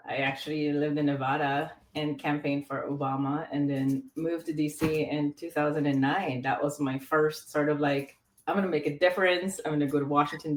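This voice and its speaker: Podcast guest: low, chatty woman from the Philippines, lots of fillers, echoey room. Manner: chatty, lots of "like" and trailing restarts. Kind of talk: casual interview chat. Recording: roomy echo.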